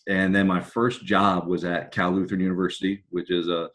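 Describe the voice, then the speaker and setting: An American man speaking as a football coach, answering interview questions in a casual, reflective and halting way. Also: low voice, flat delivery, a bit bright. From California, United States.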